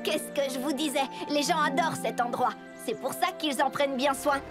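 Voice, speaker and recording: Plucky, inquisitive young boy lead for a kids' cartoon dub, high voice female performer, music underneath. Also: steady tone, moderate pace, close to an everyday voice. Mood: pleased. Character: plucky, sharp-witted young boy hero. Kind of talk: animated children's series dub. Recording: loud cartoon music behind.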